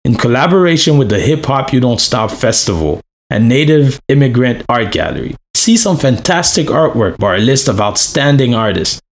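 A man, the host of a podcast, reading an upbeat promotional intro. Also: medium pitch.

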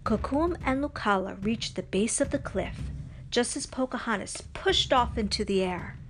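A woman's voice: on the high side, lively intonation, normal speed. A woman narrating a scripted children's story as a storybook reader, with faint noise in the recording.

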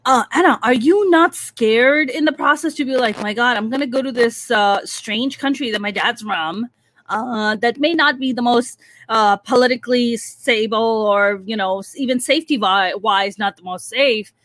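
A high-pitched woman from Pakistan hosts the conversation, asking curious, lively questions. Her voice is recorded close and dry, with no room sound. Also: moderate pace, no echo. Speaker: host. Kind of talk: interview conversation.

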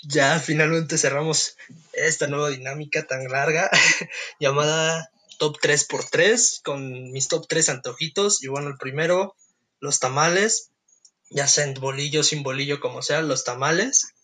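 A young adult man with a Mexican Spanish accent hosting a casual podcast chat, relaxed and quick to laugh. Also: a little high. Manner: relaxed, laughs easily, leads the segments. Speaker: podcast host.

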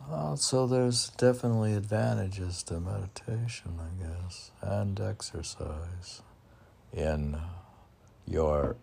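A man's voice: sits low, takes it slowly, vocal fry, darkish tone, breathy edge. A man informally reading poems and commenting on them in a rambling, conversational way, posing questions and answering them himself.

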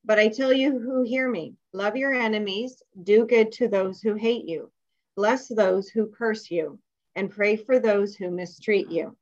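A woman reading scripture aloud in church, with a loud, friendly, steady delivery. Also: medium pitch, a little slow, muffled recording. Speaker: scripture reader.

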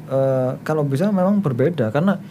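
A man, a soldier, chatting casually in an easygoing and hesitant way, often pausing to find his words. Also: a little high, mellow, a little hiss or hum. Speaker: soldier, interviewee. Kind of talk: casual chat.

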